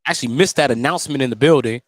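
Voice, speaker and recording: A man's voice: medium pitch, rapid-fire, no room sound, studio quality, soft background music. A man doing live radio play-by-play commentary of a basketball game as the announcer.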